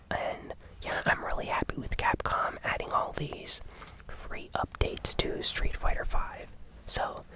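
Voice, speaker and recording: A host giving ASMR gaming news commentary in a breathy, unvoiced whisper, soft, unhurried and informal.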